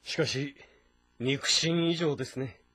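A man's voice: medium pitch, slow, sharp tone, slightly rough, heavily acted. A man playing a thoughtful, weary military strategist who speaks politely and reflectively in a historical drama. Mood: pensive.

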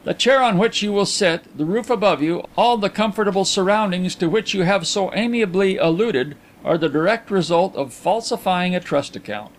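Man acting a placid, urbane gentleman, lightly pitched. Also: lively intonation, moderate pace, hardly any pauses. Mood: suave, thoughtful. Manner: tranquil, suave, courteous. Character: placid, self-assured blind gentleman, good-humoured and urbane. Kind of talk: audiobook character acting.

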